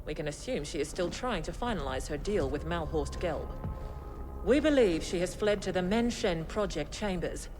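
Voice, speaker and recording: An actor voicing a composed, erudite intelligence handler who briefs an operative in a video game, measured and dry. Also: mid-range voice, lively intonation, over music, lightly acted, rough recording. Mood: composed, analytical. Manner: measured, erudite, dryly admiring.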